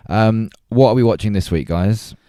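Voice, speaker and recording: A man's voice: fairly low pitch, moderate pace, warm tone, no room sound, clean studio sound. A man hosting a casual film discussion, thoughtful and hesitant, repeating words as he builds his points. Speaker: podcast host.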